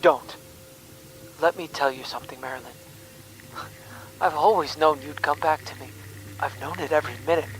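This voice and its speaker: A man's voice: on the high side, moderate pace, long pauses, a bit bright. A man playing a devoted, anguished lover speaking over a failing, fuzzy radio transmission in a radio drama. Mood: fervent. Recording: noisy, fading radio transmission.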